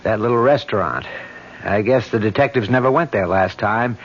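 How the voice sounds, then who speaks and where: An actor playing a dogged, plain-spoken police detective, speaking in matter-of-fact clipped phrases in an old-time radio mystery. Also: male voice, fairly low pitch, moderate pace, breathy edge. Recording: dull old radio broadcast.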